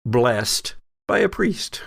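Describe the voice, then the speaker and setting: A man's voice: mid-range voice, sing-song, extremely slow, vocal fry, breathy edge. A man narrating a tabletop horror game as game master, dramatic in his scene-setting with wry asides.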